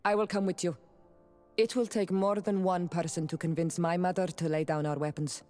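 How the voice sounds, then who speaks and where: Woman as young native clan princess in a game, solemn n proud, careful formal talk, soft music behind. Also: fairly low pitch, fairly even intonation, normal speed, natural voice. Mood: solemn pleading. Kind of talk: video game cutscene dialogue.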